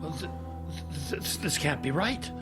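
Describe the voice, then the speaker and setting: An actor playing an anxious, earnest man in an audio drama, a little halting as he presses for answers. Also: a little high, animated, loud music behind the voice, natural voice. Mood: stunned.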